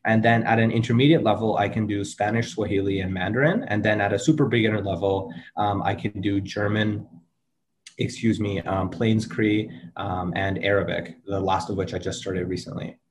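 A young man in his twenties whose first language is Urdu speaks earnestly as an interview guest. His voice sits a little low, and he pauses often with ums and restarts his sentences. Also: fairly even intonation, a little hiss or hum. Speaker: interview guest, medical student. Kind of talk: casual interview answers.

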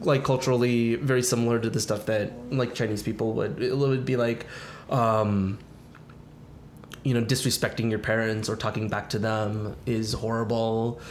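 A man's voice: lowish voice, level tone, normal speed. Taiwan-born male guest, chatty and unpolished, light room noise behind. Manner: chatty, lots of fillers and restarts. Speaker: podcast guest. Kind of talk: casual chat.

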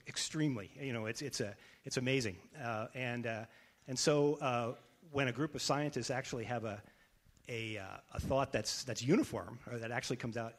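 A man introducing himself on a panel, rambling through frequent restarts. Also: medium pitch.

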